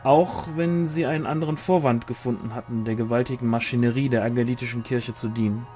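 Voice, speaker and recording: A man's voice: medium pitch, steady tone, fast talker, dark tone, faint music behind. A man reading a novel aloud as scripted narration. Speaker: audiobook reader.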